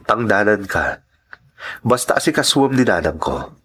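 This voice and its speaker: A man's voice: fairly low pitch, sing-song, a little slow, a bit bright, slight background noise. A man playing a persuasive, reassuring priest in a radio drama, with an Ilocano accent. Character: reassuring, persuasive male priest, devoted son.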